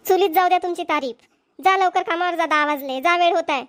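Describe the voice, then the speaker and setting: A high, quick voice of a woman acting as a young village daughter-in-law in a homemade cartoon comedy. She is dutiful and bashful, with a Varhadi Marathi accent. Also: a bit bright. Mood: playfully scolding.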